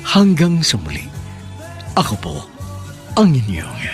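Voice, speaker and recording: A man's voice: medium pitch, huge pitch swings, slow pace, faint music behind. A man hosting and narrating a radio romance drama in an earnest, sentimental storytelling manner.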